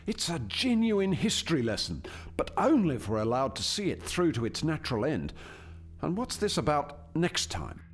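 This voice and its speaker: A man's voice: on the high side, wildly swooping pitch, moderate pace, breathy edge, exaggerated voice. An actor playing an earnest alien scientist in a radio play, hesitant and deferential as he explains and defends his work. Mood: pleading, defensive.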